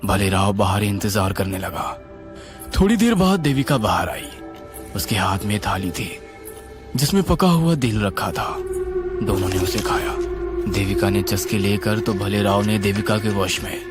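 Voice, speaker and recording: Guy telling a horror tale, deepish voice, really animated and suspenseful, music behind. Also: unhurried. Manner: suspenseful, expressive. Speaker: narrator. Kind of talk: dramatic scripted horror narration.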